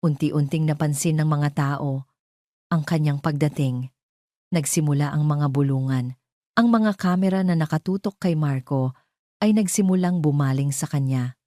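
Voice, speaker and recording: A woman with a Filipino accent narrating a scripted romance drama in deliberate, dramatic short phrases. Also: low voice, warm tone, no echo, clean studio sound. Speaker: storyteller.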